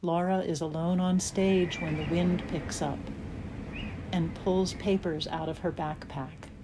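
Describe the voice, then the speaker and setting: A woman's voice: lowish voice, steady tone, measured pace, background noise, lightly acted. A woman giving scripted narration, reading out stage directions for an audio play.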